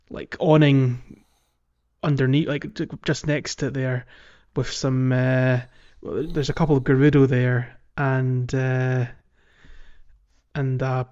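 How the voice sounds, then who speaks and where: A man co-hosting a podcast in casual conversation about video games, talking in a halting way as he thinks aloud. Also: mid-range voice, a little mellow, muffled recording. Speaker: podcast co-host. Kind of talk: casual video game discussion.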